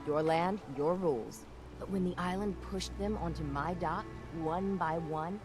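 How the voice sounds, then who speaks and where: A woman's voice: lowish voice, lightly acted. A woman playing a proud, grumbling shipwright and harbor handywoman in a video game, blunt, salty and sardonic. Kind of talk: video game dialogue acting.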